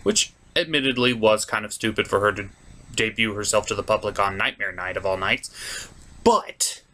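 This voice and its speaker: A man's voice: fairly low pitch, normal speed, bright, forceful tone. A man delivering a ranting, profane review as an online host, exasperated and emphatic.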